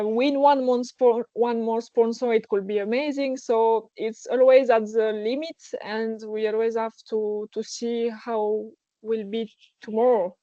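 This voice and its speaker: A woman's voice: a little high, warm tone, no room sound, lo-fi sound. A young woman with a French accent, a guest in a casual interview, speaking hesitantly and searching for words in her second language. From France. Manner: hesitant, searching for words, many false starts. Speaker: guest athlete.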